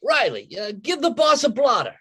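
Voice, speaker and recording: An actor playing a brash, gluttonous neighbor pal who delivers hammy wisecracks in an old-time radio comedy. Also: male voice, very high voice, wildly swooping pitch, normal speed, bright, forceful tone, breathy edge, full character voice. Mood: ingratiating, wry.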